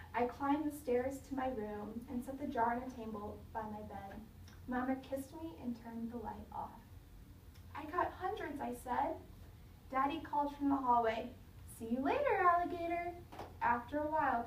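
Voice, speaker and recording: A high-voiced woman reads a children's story aloud like a teacher, with expressive, dramatic delivery. She is recorded at a distance from the mic in an echoey room, with faint noise behind her. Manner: dramatic, pausing to question listeners.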